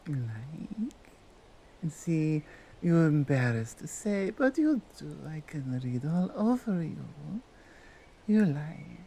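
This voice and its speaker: A woman voicing a dominant yet tender female Krampus in an ASMR roleplay, with a Nordic accent and halting, deliberate broken English. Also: sits low, very animated, warm tone, slightly rough, full character voice. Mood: teasing, doting. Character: dominant, tender, seductive female Krampus, otherworldly gift-bringer.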